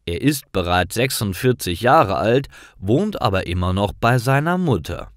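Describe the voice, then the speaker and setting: A man narrates simple stories for language learners with a lowish voice. His delivery is slow and careful, with animated intonation. The recording is dry. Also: no room sound.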